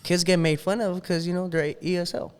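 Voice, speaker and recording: A man from the Los Angeles area hosting a podcast interview, talking in relaxed slang and slipping into Spanish. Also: high voice, fairly quick, clean tone, long reverb tail. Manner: laid-back slang, mixes in Spanish. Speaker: podcast host. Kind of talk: casual interview chat.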